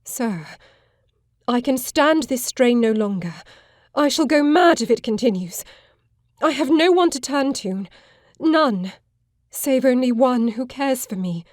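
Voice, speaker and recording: Frightened, high-voiced gentlewoman. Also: expressive, a little slow, dry recording, clean studio sound. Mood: desperate, pleading. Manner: formal, pleading, agitated. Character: frightened, distraught genteel Victorian young woman of thirty. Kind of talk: full-cast audiobook drama acting.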